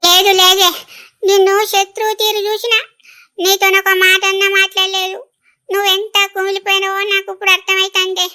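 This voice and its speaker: A woman plays a village elder sister in a family drama, with a high, bright voice and a Telangana accent. She is blunt and emotional, exclaiming plaintively. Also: a little fast. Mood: tearful remorse. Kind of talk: rural family drama acting.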